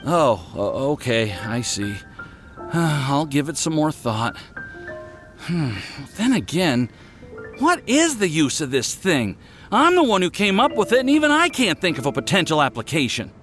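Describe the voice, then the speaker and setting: A man voicing an earnest, self-doubting young alchemist in a video game, expressive and a bit fretful. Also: fairly high pitch, a little slow. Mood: deflated, pondering. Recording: music behind.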